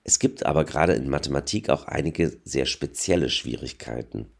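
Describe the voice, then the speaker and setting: A man's voice: a bass voice, normal speed, creaky. A man hosting a school radio show, reading out scripted links between interviews and songs. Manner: read-out linking announcements.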